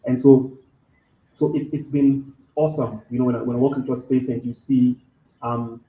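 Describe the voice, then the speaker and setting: A man's voice: medium pitch, level tone, normal speed, dark tone, roomy sound, poor audio. A man from Kenya speaking on a live café panel, restarting often and repeating words as he searches for phrasing, in a mostly neutral accent. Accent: neutral English, faint Kenyan.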